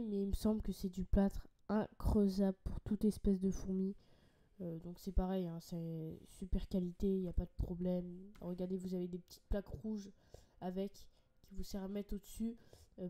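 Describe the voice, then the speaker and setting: A woman's voice: mid-range voice, warm tone. Bubbly young Frenchwoman with a cold. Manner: chatty and enthusiastic, many fillers and restarts. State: has a cold, a bit congested. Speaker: ant-keeping channel host. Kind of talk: casual screen-share product walkthrough.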